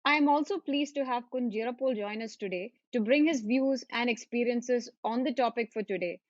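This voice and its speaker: A woman hosts a webinar, her voice sitting fairly high as she reads formal, scripted remarks with the odd filler. The sound is dry and a little dull, with no room around it. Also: normal speed, no echo. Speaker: webinar host, value manager. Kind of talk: webinar moderation.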